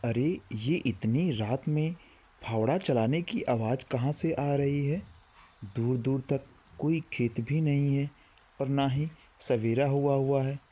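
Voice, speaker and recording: A man's voice: medium pitch, slow, mellow. A man voicing an anxious, questioning male traveller in a horror cartoon. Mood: puzzled.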